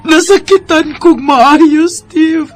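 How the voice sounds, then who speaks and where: A woman's voice: high-pitched, takes it slowly. A woman with a Cebuano Filipino accent playing a lovelorn young woman in a radio drama, confessing a long-hidden love. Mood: hurt, pleading. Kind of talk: Bisaya radio drama acting. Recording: music behind the voice.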